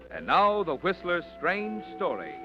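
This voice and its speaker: A man announcing for an old radio show, smooth and persuasive. His voice is a bit high and moves up and down with showman flair. Music plays behind him on a dull, lo-fi old recording. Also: wildly swooping pitch, a little slow. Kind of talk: 1940s radio sponsor announcing.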